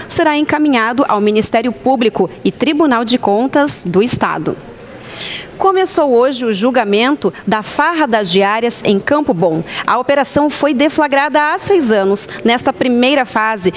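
A woman in her thirties anchoring a live news show from a newsroom, reading in a steady scripted way and asking brisk questions. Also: on the high side. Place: open-plan newsroom. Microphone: headset mic.

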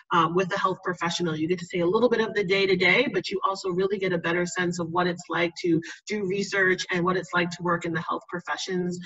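A woman's voice: mid-range voice, pushed and bright. Upbeat woman presenting over a dull call. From New York City. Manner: enthusiastic, frequent ums. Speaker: college admissions officer. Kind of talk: presenting on a video call panel. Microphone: video call. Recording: distant, dull video call audio.

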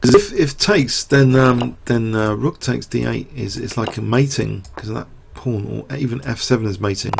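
A middle-aged man commentating live on a chess game, talking through the moves and quizzing his viewers, his voice getting croaky. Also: medium pitch, moderate pace, hardly any pauses, a little hiss or hum.

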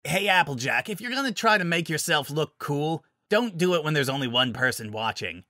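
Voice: mid-range voice, animated, brisk, bright, forceful tone, dry recording, clean studio sound, voice pushed up. A narrator as a brash, cocky young woman. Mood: teasing. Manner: cocky, flippant, casual. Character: brash, casual, teasing young female adventurer. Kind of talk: audiobook-style fantasy story character.

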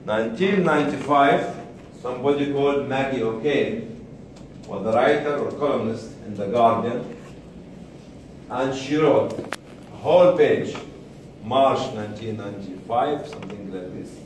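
A man in his sixties telling a story to a group in a deliberate way, repeating and pausing for emphasis. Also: mid-range voice. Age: 60s. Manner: deliberate, with repetition and pauses for emphasis. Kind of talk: spoken storytelling to an audience. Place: medium-sized indoor seminar room. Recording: distant, very echoey room recording with faint noise.

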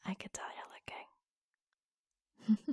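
A woman playing a flirtatious, teasing office lover in an intimate ASMR roleplay, breathy and husky, often dropping to a whisper. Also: warm tone, lightly acted. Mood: coy, teasing. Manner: slow, coy, teasing, giggly. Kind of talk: ASMR roleplay, intimate romantic audio drama.

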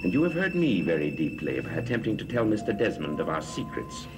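A menacing middle-aged coven leader with a low voice. He speaks formally and deliberately in vintage gothic soap style, on a noisy, echoing old recording. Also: wildly swooping pitch. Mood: calm menace. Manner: measured, formal, threatening. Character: sinister, menacing male leader of witch coven. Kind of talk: 1960s gothic soap opera acting. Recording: distant, echoing, hissy old TV soundtrack.

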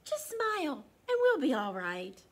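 A woman's voice: high voice, wildly swooping pitch, measured pace, pitched above the performer's own voice, full character voice. A woman voicing a teasing young child in a children's storybook, mocking and emphatic.

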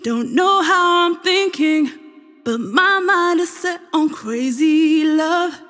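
A woman's voice: very high-pitched, slow pace, a bit bright. A woman singing a pop vocal line. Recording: long reverb with music.

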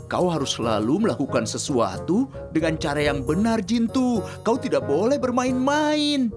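Timid fussy sidekick, fretful, animated intonation, cartoon dub, loud music. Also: male voice, high voice, normal speed. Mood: bossy. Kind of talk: dubbed children's cartoon acting.

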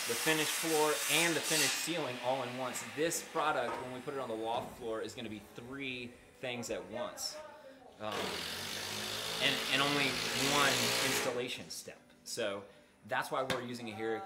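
A middle-aged man with a North American accent explains a job like a working builder showing someone the ropes. His voice sits at an average pitch and his delivery is practical and plain-spoken. Loud noise runs behind him on an open building site. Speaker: builder presenting a how-to. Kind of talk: informal instructional explanation.

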